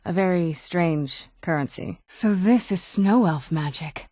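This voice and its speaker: Low, wry young vampire noblewoman. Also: a little slow, warm tone, no echo, studio quality. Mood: wry, thoughtful. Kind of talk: video game character dialogue.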